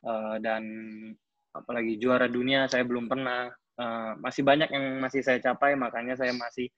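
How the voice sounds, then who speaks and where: A man's voice: medium pitch, little pitch movement, moderate pace, a bit bright, no room sound, muffled recording. A man in his twenties giving a casual personal account at a coaching clinic, hesitant, with frequent fillers and restarts. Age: 20s. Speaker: athlete speaking at a coaching clinic.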